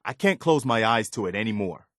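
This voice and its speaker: Earnest, low-voiced weary militia soldier. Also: male voice, animated, fairly quick, a bit bright, dry recording, clean studio sound. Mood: bitter, reproachful. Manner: earnest, plain-spoken, folksy. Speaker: video game voice actor. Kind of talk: dramatic video game dialogue.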